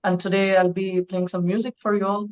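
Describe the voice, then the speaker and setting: A man from south India, introducing himself calmly on a video call. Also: sits high, a little fast, clean tone, highs cut off. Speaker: presenter and musician. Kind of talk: self-introduction.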